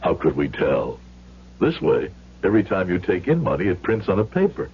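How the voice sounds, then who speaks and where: A man's voice: sits low, huge pitch swings, normal speed, slight background noise, exaggerated voice. An actor playing a troubled, honest immigrant shopkeeper father in an old radio drama, earnest and questioning. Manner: earnest, questioning, careful phrasing. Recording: muffled, humming vintage radio recording.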